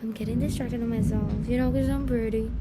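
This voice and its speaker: A woman's voice: on the high side, fairly even intonation, normal speed, loud music behind the voice. A woman in her twenties, raised in the Philippines, talking to camera in a chatty, distractible, self-mocking way full of "like" and restarts. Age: 20s. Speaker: vlogger. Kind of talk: casual rambling chat to camera. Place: room in the Philippines.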